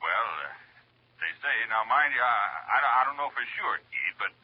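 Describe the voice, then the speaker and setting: Stammering newspaper pal, raspy, lively swooping pitch, chummy and evasive, old radio drama, dry muffled sound. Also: male voice, normal speed, dry recording. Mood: nervous, evasive. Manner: stammering, repetitive, hedging. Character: chummy, fast-talking, nervously evasive male newspaperman. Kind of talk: golden-age radio drama acting. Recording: dry, muffled vintage radio phone call.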